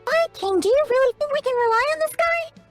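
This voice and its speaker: A woman voicing a tiny, childlike, perky and blunt fairy companion in a video game, with a squeaky voice and exclamatory delivery. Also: lively intonation, brisk. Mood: skeptical, blunt. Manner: refers to self in third person, exclamatory. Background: music.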